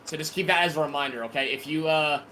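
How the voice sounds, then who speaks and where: A man's voice: a little high, fast, bright, forceful tone, a little hiss or hum, low-quality recording. A man hosting a casual live call, talking hesitantly and repeating himself with a friendly tone. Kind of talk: casual live-stream talk.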